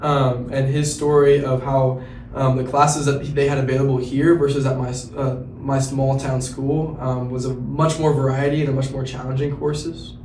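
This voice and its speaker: A man's voice: medium pitch, little pitch movement, normal speed, darkish tone, distant mic. Hesitant teen boy in an echoey room. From Central Indiana. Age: teens. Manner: hesitant, many ums and restarts. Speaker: student ambassador. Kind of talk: casual interview answers. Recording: roomy, off-mic with faint noise.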